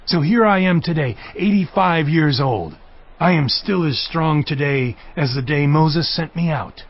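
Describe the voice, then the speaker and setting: An actor playing a vigorous, devout eighty-five-year-old Israelite warrior in a dramatized audio Bible, declaiming with passion and resolve. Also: male voice, a little high, muffled recording. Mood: proud, determined. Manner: impassioned, declamatory.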